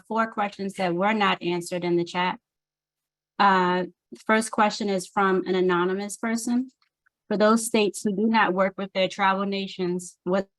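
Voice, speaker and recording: A woman's voice: medium pitch, no room sound, rough recording. Woman reading questions, dry sound. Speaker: contractor reading audience questions.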